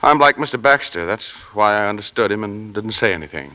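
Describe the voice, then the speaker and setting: An actor playing a modest, unsure young American office clerk, hesitant and self-doubting, in 1940s radio drama style. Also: male voice, on the low side, expressive, a little fast, pushed and bright.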